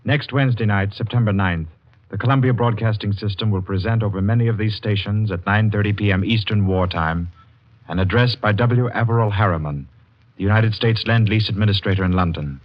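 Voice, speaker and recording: Formal 1940s network radio announcer. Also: male voice, lowish voice. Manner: crisp, formal, measured. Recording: dull 1940s radio broadcast.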